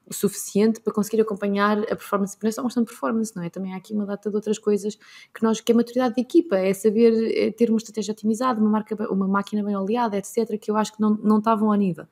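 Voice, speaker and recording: A woman with a European Portuguese accent co-hosting a casual podcast chat, talking in a rapid, emphatic way. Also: mid-range voice, fairly even intonation, mellow. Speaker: podcast co-host.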